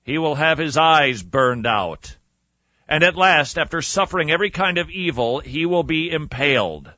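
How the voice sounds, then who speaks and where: A man with a forceful, somewhat high voice delivers theatrical storytelling as a radio host, leaning hard on key words and pausing for drama. His pitch swings widely, and the close, dry recording sounds slightly dull. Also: animated, no echo. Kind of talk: animated storytelling with reading and commentary.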